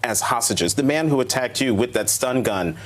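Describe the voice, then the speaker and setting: A male news show host conducting a broadcast interview in a measured, earnest way. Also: mid-range voice, lively intonation.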